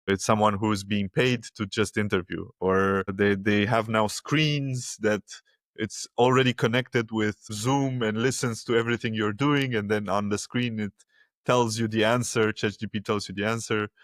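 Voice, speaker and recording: A man's voice: lowish voice, fairly even intonation, moderate pace, fairly bright tone, no echo, clean studio sound. A man hosting a podcast interview, talking loosely and conversationally with many fillers. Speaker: podcast host. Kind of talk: casual interview chat.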